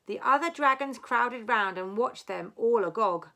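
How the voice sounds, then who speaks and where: Female storybook reader, teacher-like: deliberate rhyming delivery, very lively intonation, unhurried. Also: a little high. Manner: dramatic, deliberate phrasing. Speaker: teacher reading aloud. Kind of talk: expressive children's storybook reading in rhyme.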